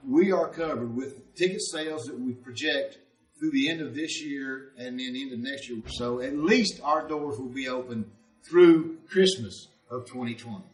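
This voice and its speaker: A man talks conversationally in an interview, pausing mid-sentence. His pitch is average, and the room echoes around him with the mic some way off. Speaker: member of a community radio theatre troupe. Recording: roomy echo, distant microphone.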